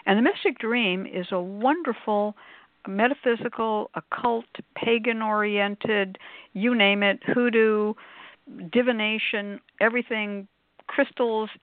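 A woman's voice: medium pitch, steady tone, a little slow, no echo. A woman hosting a casual live talk show, chatty and effusive, with emphatic asides. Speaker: radio show host. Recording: phone-like, narrow-band sound.

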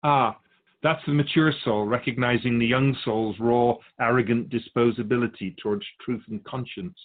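A man speaking as a spiritual guide, answering in a measured, assured, didactic way in philosophical conversation. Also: mid-range voice, fairly even intonation, phone-quality sound.

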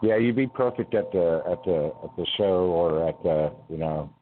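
A low-voiced man hosts a call-in show, joking around in relaxed banter. His voice comes through a thin, phone-like line with faint background noise. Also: normal speed. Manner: joking, laid-back banter with laughter. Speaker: radio show host. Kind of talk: casual call-in chat.

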